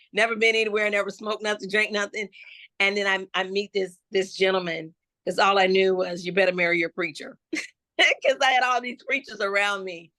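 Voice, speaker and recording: A woman from Florida, a gospel hip-hop artist, telling her life story slowly and reflectively in an interview, with many restarts. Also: medium pitch, bright, forceful tone, no echo. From Jacksonville, Florida. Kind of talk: personal life testimony in an interview.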